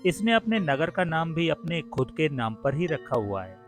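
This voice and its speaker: A man's voice: fairly high pitch, big pitch swings, moderate pace. A man narrating a scripted cartoon story for children. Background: soft music.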